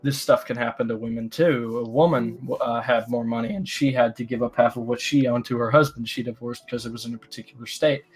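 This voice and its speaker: A man with a mid-range voice talks casually and explains his views haltingly, circling back over phrases. Faint music plays underneath. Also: medium pitch, fairly even intonation, breathy edge, low-quality recording. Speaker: livestream talk participant. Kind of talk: casual opinionated discussion.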